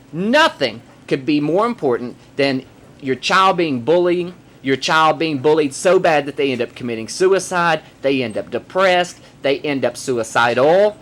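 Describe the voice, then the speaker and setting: A man who performs in drag, hosting his own vlog and giving emphatic, opinionated commentary straight to the camera, hammering points home with repetition. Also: fairly high pitch. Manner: emphatic, forceful, repeats points for effect.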